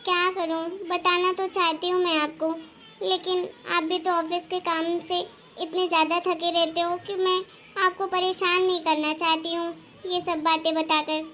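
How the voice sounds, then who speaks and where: A woman voicing a worried, soft-hearted housewife and mother in a cartoon family drama, talking in a fretful, plaintive way. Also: very high voice, little pitch movement, moderate pace, faint music behind. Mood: evasive, hesitant.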